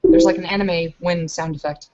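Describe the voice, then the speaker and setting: A woman with a fairly low voice plays a tabletop roleplaying game. She moves casually between narration and in-character talk. The sound is dull and lo-fi. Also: steady tone, normal speed, dark tone, slight background noise.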